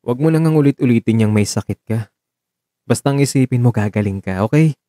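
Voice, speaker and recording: Male actor as caring male partner, soft warm tone, mid-pitched, emotional, gently scolding, audio drama. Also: a little fast, dry recording, clean studio sound, lightly acted. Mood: reassuring. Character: devoted, caring man nursing his sick beloved, gently scolding. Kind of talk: Tagalog audio drama acting.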